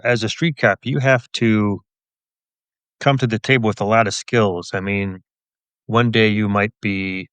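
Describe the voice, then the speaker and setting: Low-voiced man, measured interview guest. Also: a bit bright, smooth, no echo. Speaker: police officer and author, interview guest. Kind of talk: thoughtful conversational interview answers.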